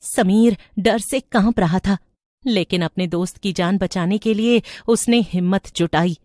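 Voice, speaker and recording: A woman's voice: mid-range voice, unhurried, a little mellow, no room sound, studio quality. A woman reading scripted narration for a horror story.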